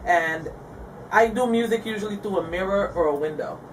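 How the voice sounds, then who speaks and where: A woman's voice: medium pitch, moderate pace, far from the mic, a little hiss or hum, low-quality recording. A woman rapper from Guyana, talking casually and candidly in an interview, often laughing. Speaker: rapper guest.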